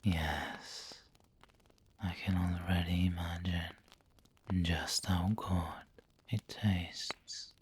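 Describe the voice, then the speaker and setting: A man playing a refined, doting, possessive vampire lord in an ASMR roleplay, breathy and whispery, with a genteel, coaxing manner. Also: deep voice, flat delivery, slight background noise. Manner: slow, genteel, coaxing endearments.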